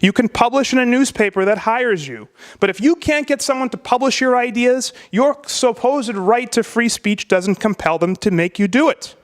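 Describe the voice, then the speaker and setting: A man delivering a prepared debate speech, emphatic and rhetorical, with deliberate pauses. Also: very high-pitched, animated.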